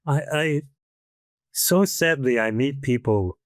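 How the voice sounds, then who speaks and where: A man's voice: medium pitch, slow pace, dry recording, studio quality. An older man hosting an interview show, talking in a rambling storytelling way with many restarts. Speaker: podcast host. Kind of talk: casual interview chat.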